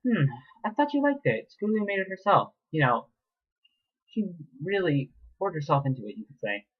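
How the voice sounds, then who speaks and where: Villainous pony mare mayor, cold and gloating, sweet on top and cruel underneath; a man puts her on, pitched well above his own husky voice, dull lo-fi sound. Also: sing-song, moderate pace, full character voice. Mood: gloating menace. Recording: dull lo-fi recording.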